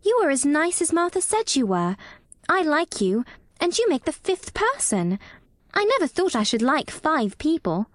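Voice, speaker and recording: A woman narrator plays a lonely, prickly little girl who speaks in an earnest, precise way. The voice is pitched well up. The sound is dry and close, like a storybook read at the mic. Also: very animated, normal speed, no echo. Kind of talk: audiobook character reading.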